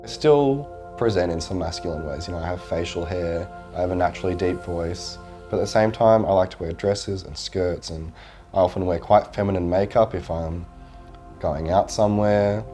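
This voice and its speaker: A man speaking hesitantly in a documentary interview, with pauses and restarts. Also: low-pitched, dark tone, faint music behind.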